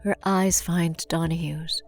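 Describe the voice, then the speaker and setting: Lady narrating a drama story, somber and deliberate, slightly low voice, soft music under. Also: fairly even intonation.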